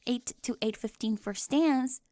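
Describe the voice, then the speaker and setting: A young woman acting a bossy, easily flustered, over-organized high school girl with a crush, in an ASMR roleplay. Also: unhurried, warm tone, no echo, close to an everyday voice. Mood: brisk, officious. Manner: indignant, emphatic, easily flustered, stammering when caught.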